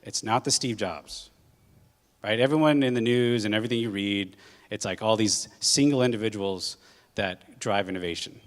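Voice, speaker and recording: Man from Hawaii, low voice, casual guest-speaker talk full of fillers, jet-lagged, lingering hall reverb. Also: normal speed. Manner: conversational, with many filler ums and uhs. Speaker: guest speaker, corporate general manager. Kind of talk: casual public talk to students.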